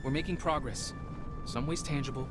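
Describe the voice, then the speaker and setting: A man's voice: mid-range voice, moderate pace, close to an everyday voice. A man playing an earnest, dutiful young Spartan warrior in a video game, with formal, dry delivery. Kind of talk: video game character dialogue. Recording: loud music behind the voice.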